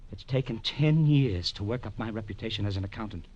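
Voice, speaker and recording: Male actor as desperate accountant: fairly low voice, earnest, halting, vintage radio melodrama. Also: highs cut off, natural voice. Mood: anxious, pleading. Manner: earnest, nervous, halting delivery. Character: anxious, pleading male accountant in serious trouble. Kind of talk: 1940s radio drama acting.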